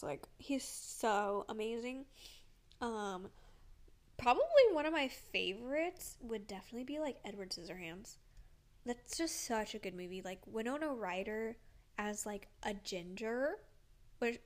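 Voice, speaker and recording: A young woman with a somewhat high voice chats in a loose, rambling way, dropping "like" into nearly every phrase. Her nose is stuffed up, which gives her voice a congested sound. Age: young adult. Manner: rambling, full of "like", laughs often. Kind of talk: casual vlog chat.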